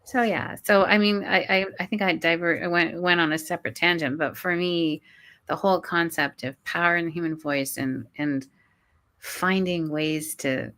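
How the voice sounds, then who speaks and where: A woman's voice: lowish voice, fairly even intonation, normal speed, fairly bright tone. Earnest woman guest with frequent restarts. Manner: earnest, repeats words and restarts often. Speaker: guest, college professor. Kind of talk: conversational interview.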